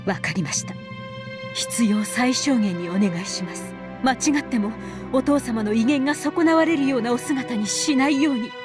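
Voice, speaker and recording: Woman acting a dignified, high-voiced dutiful wife. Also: fast talker, close to an everyday voice. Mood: restrained, formal. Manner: formal, deferential, composed. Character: dutiful, dignified middle-aged wife, polite and resolute. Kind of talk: Japanese visual novel voice acting. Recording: music behind the voice.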